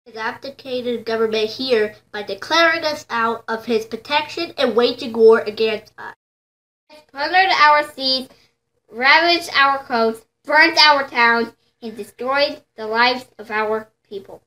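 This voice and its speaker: A woman's voice: fairly high pitch, measured pace, sharp tone, rough recording. A woman reading a historical document aloud in a formal style. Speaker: volunteer reader.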